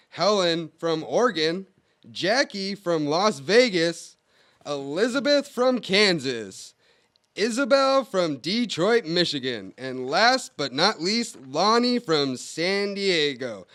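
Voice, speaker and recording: A man's voice: high voice, very animated, slow, fairly bright tone. A man doing scripted announcing for an old-time children's radio show, addressing young listeners.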